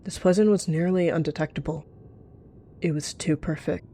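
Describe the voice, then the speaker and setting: Low-pitched woman narrating a roleplay. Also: steady tone, normal speed, mellow, a little hiss or hum. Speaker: roleplayer narrating and voicing cat characters. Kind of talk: improvised third-person roleplay narration.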